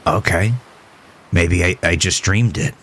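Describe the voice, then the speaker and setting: A man's voice: deep voice, slow, fairly bright tone, slight background noise. A man voicing a polite, uneasy patient in an audio story, hesitant and stammering. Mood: unsure, polite.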